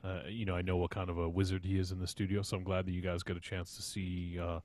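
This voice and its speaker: A man's voice: deep voice, monotone, fast talker, a little mellow. A man talking casually as a co-host on a podcast.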